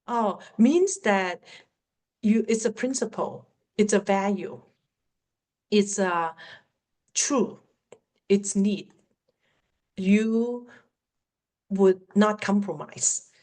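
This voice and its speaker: A middle-aged woman with a Cantonese accent, a native Cantonese speaker, answering questions as a workplace communication coach in an online webinar. She talks earnestly and encouragingly and slows down to stress her key points. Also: mid-range voice, a little mellow. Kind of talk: webinar Q&A teaching.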